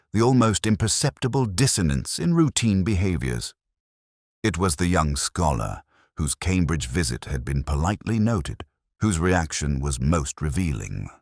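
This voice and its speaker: A man reading a scripted first-person detective story in a measured, formal, reflective manner. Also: deep voice, dry recording, studio quality. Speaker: audiobook-style story narrator.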